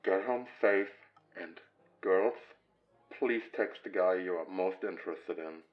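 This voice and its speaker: A man acts a courteous male event host in an audio roleplay. He makes polite, measured announcements at a very slow pace, in a fairly low voice. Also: dull audio, soft background music.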